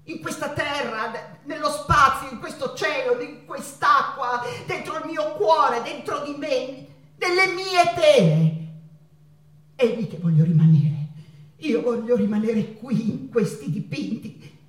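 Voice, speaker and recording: An actress playing an anguished, passionate Mexican woman painter in a stage monologue, confiding haltingly and repeating words with dramatic emphasis. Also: sits high, expressive, a bit bright, exaggerated voice. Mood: wistful, yearning. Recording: echoey stage, distant mic, guitar behind.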